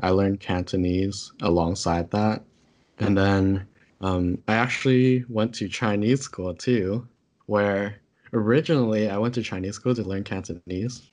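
A young man co-hosting a podcast, talking casually with a slightly low voice. His first language is Taishanese, and he wanders through his points with fillers and restarts. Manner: relaxed, many fillers and self-corrections. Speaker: podcast co-host.

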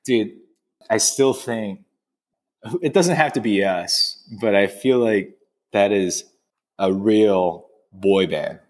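A man's voice: lowish voice, takes it slowly. A chef in his thirties chatting casually over drinks, rambling with lots of fillers and laughing often. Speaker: chef and restaurant owner. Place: in a restaurant.

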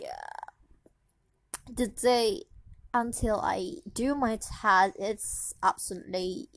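A young woman from Indonesia speaking English with an Indonesian accent, rambling sleepily through a personal podcast with many fillers. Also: medium pitch. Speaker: podcast host.

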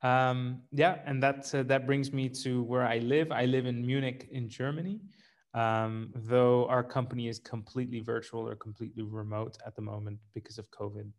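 A man's voice: medium pitch, fairly even intonation, normal speed. Middle-aged man, podcast co-host, wry, self-deprecating, casual chat. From Sri Lanka. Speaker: startup co-founder, podcast host.

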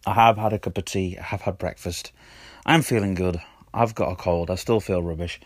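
A man hosting his own audio blog, his voice husky from a cold, chatting and rambling with lots of ums. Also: low voice, steady tone, normal speed, dry recording, studio quality. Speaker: podcast host. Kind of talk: casual solo audio blog.

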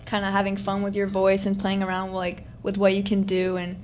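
A woman's voice: medium pitch, completely flat delivery, brisk, darkish tone. A young woman speaks casually as a radio show guest, her talk full of "like" and loose restarts. A faint hiss runs under her voice. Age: young adult. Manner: filler-heavy casual talk, many "like"s. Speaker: student guest. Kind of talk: casual chat. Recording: noisy studio recording.

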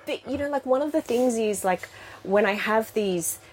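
A woman's voice: a little high, normal speed, a little hiss or hum. A middle-aged woman with an Australian accent, telling her story as a podcast guest in a frank, chatty way with frequent laughs. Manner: frank, laughs often, many fillers. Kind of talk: casual interview chat.

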